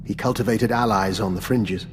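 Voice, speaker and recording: Actor playing a courteous, low-voiced old spy doctor. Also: male voice, moderate pace, long reverb tail, a little hiss or hum, close to an everyday voice. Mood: wistful, grave. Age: older. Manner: courteous, genteel, understated. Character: urbane, calculating old male doctor and veteran spy. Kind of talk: video game dialogue acting.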